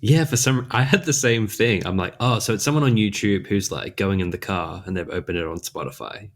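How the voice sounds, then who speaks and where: Deep-voiced man, mild Australian accent. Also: a little fast. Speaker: podcast co-host. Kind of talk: casual chat.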